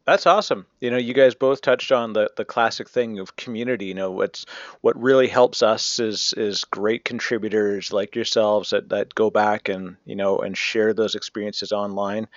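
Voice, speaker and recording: A man's voice: medium pitch, dry recording. Chatty male podcast host. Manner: chatty, leans on "you know". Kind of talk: casual podcast chat. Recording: dry, muffled lo-fi audio.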